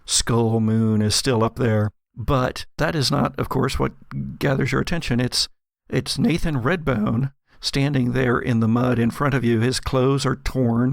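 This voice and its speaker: A man with a creaky, mid-pitched voice runs a tabletop horror game. He narrates dramatically, pausing for suspense between descriptive phrases. The recording is close and dry. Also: dry recording. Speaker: game master. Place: home studio.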